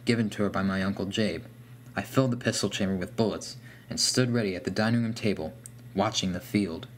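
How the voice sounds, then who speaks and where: A man's voice: on the low side, slight background noise. A man reading a scripted first-person horror story as its narrator.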